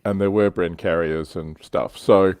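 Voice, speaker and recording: A man's voice: deep voice, steady tone, unhurried, darkish tone, dry recording, studio quality. A man hosting a casual livestream discussion about a hobby, talking conversationally. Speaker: livestream host.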